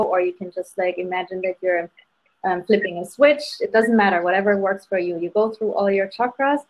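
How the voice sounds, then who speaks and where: A woman with a German accent talks easily over a video call, leading a meditation and giving readings. Her voice sits at a middle pitch, and the sound is a little dull and lo-fi. She pads her sentences with "um" and "like". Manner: relaxed, many fillers like "um" and "like". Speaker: spirit guide medium hosting live readings. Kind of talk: casual guided meditation and readings.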